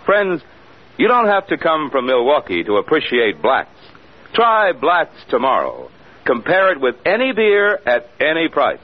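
A man's voice: on the high side, huge pitch swings, measured pace. A man announcing a vintage radio advertisement in an emphatic, polished salesman's manner. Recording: muffled old radio recording.

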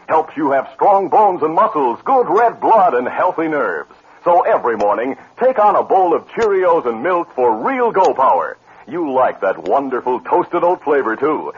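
A man's voice: fairly high pitch, big pitch swings, normal speed, slightly rough. A man announcing and narrating a 1950s radio western in a polished, dramatic delivery. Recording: muffled, noisy old radio broadcast.

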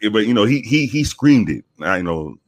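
Man, very deep voice, from the Bronx, profane animated storyteller, dry close sound. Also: normal speed, dry recording. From the Bronx, New York. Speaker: guest, former police officer. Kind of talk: casual storytelling interview.

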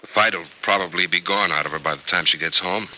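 A man's voice: deep voice, fast, sharp tone. An actor in an old-time radio soap opera playing a sober, caring American man, thoughtful and hesitant yet resolute about helping his friend. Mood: somber. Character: grave, caring male friend, resolute and self-sacrificing. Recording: noisy vintage radio drama recording.

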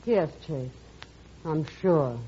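A woman's voice: sits low, animated, very slow, a little hiss or hum, full character voice. An actress playing a troubled, well-bred married woman in 1940s radio melodrama style. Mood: guarded. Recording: dull old radio recording, loud music.

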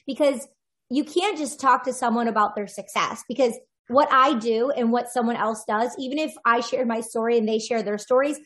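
A woman's voice: on the high side, normal speed, fairly bright tone. A woman voiceover artist, a podcast guest, talking in a reflective, rambling way with many restarts. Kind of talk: casual conversational interview.

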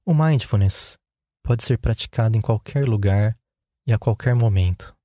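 Brazilian guy doing guided meditation, really dark soft-edged tone, calm and unhurried, dry close sound. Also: lowish voice, no room sound. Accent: Brazilian Portuguese.

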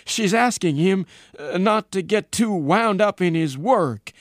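A man voicing an earnest, weary army major giving halting, deliberate radio messages in an audiobook story. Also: sits high, lively intonation, no echo, clean studio sound, voice pushed up. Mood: hesitant.

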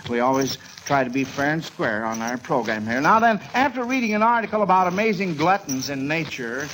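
A man's voice: a little high, sing-song, fairly quick, a bit bright, slight background noise, low-quality recording. A man hosting a 1940s radio quiz show in a folksy, avuncular way, on an old radio recording.

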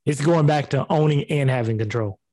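A man's voice: medium pitch, a little fast, mellow, dry recording, clean studio sound. A man chatting casually on a podcast about rentals, laid-back and loose.

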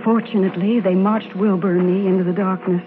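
Actress as solemn wartime heroine on tinny old radio. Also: female voice, mid-range voice, fairly even intonation, close to an everyday voice. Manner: solemn, dramatic, halting. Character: brave young woman spy narrating her wartime ordeal. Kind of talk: old-time radio drama narration and acting. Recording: thin vintage radio recording, music behind.

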